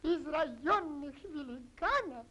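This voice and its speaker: A woman voicing a brisk female office clerk in a 1930s Soviet animated cartoon. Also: sits high. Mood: officious. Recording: old 1930s film soundtrack, faint music.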